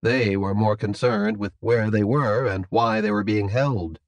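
Man voicing a calm, courteous captor, softly spoken formality. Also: fairly low pitch, no echo, lightly acted. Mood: measured, explanatory. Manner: polite, measured, formal. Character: calm, courteous, businesslike captor behind a voice modulator. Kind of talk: audiobook character dialogue.

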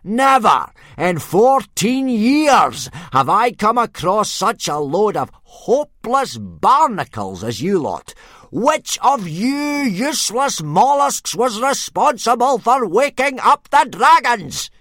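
A man voicing a gruff, blustering male Viking drill instructor who bellows at his young recruits. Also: on the high side, expressive, measured pace, a bit bright, no echo, studio quality, pitched above the performer's own voice. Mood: bellowing.

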